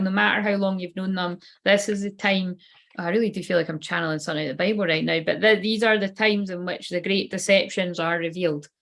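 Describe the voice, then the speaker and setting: A woman's voice: medium pitch, vocal fry, a bit bright. A woman giving a casual tarot card reading. She rambles loosely and swears offhandedly.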